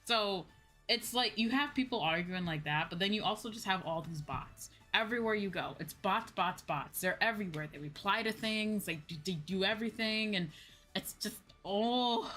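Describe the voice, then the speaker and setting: A woman's voice: medium pitch, fairly bright tone. A woman streamer chatting casually to her livestream, with an exasperated, venting manner and some casual swearing. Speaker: VTuber streamer, host.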